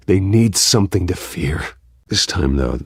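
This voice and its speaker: An actor voicing a grim, commanding masked vigilante with a terse delivery and gravelly voice in a video game. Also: male voice, deep voice, lively intonation, slow pace, no room sound, clean studio sound, heavily acted. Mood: grim, menacing. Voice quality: gravelly, husky. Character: brooding masked male vigilante, grim and menacing.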